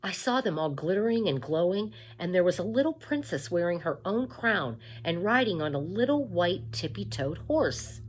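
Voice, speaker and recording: A woman's voice: on the low side, sing-song, normal speed, hardly any pauses, slight background noise, voice pushed up, rough recording. A woman voicing a naive, hopeful seven-year-old peasant girl with eager, simple speech in a storybook reading. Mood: wonderstruck.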